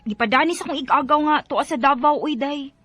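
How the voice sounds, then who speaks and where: Radio drama sidekick: sassy Cebuana friend, very high, bright voice, bossy yet caring. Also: female voice, quick pace, dry recording. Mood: eager. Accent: Cebuano Filipino.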